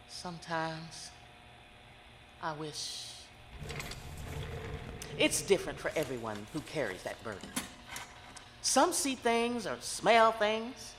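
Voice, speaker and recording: A woman playing a world-weary, brooding psychic who hears the dead in an audio horror drama, speaking intensely and confidingly with dramatic pauses. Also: fairly low pitch, a bit bright, big-room sound, a little hiss or hum, heavily acted.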